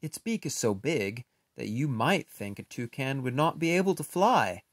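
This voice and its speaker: A man reading a children's picture book aloud in an expressive, unhurried way, with casual, playful asides. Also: mid-range voice, no room sound, clean studio sound.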